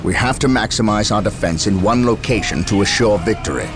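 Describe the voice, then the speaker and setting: An actor playing a stern, resolute space marine commander in a video game cutscene, speaking with formal military authority. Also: male voice, lowish voice, fairly quick, lightly acted. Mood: stern, firm. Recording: music behind the voice. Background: music.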